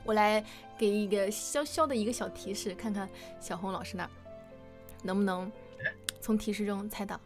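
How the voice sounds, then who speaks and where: A woman's voice: mid-range voice, fairly even intonation, normal speed. A woman hosting a casual fan quiz in a chatty, conversational way, leading the questions. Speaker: quiz host. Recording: music behind the voice.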